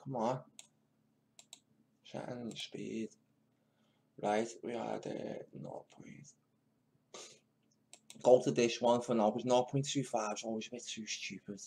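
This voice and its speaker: A man's voice: medium pitch, fairly even intonation, slow pace, gravelly, long pauses, warm tone, slight background noise. A man hosting a video, muttering to himself while he fiddles with clips, with mocking and swearing asides. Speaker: video host reacting to news clips. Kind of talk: casual muttered commentary.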